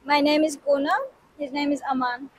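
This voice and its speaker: A young woman with a high voice. She gives short, plain answers. She is outdoors on a street, speaking into a handheld mic with faint street sound behind her. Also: level tone, moderate pace, slight background noise. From Turkmenistan. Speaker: interviewee, traveler. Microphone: handheld mic held toward her.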